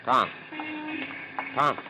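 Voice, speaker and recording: An actor playing a steady, commanding federal agent with a firm, terse 1940s radio delivery. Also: male voice, extremely slow, over music. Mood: grave. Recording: dull old 1940s radio recording.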